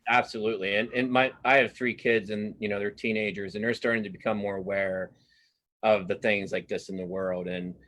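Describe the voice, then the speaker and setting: A man's voice: fairly low pitch, fairly even intonation, fairly bright tone. A middle-aged man speaking as an interviewed cartoonist in a casual, earnest way, often interrupting himself and starting over. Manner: halting, self-interrupting, earnest.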